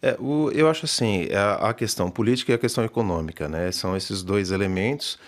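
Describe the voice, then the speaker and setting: Hesitant low-voiced man in an interview. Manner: measured, many hesitations and restarts. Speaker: literature professor and festival organizer. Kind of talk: radio interview answers.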